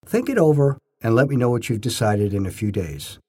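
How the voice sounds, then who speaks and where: Husky man reading memoir with lively pitch. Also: a little fast. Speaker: narrator telling his own memoir stories. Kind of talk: scripted first-person story narration.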